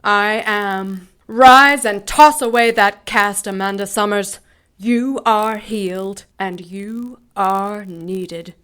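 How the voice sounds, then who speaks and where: A serene, commanding angel for radio. She speaks slowly and formally in a mid-range voice. Every phrase is grave and measured. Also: mid-range voice, steady tone, a bit bright, smooth. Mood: solemn, tender. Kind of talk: radio drama acting.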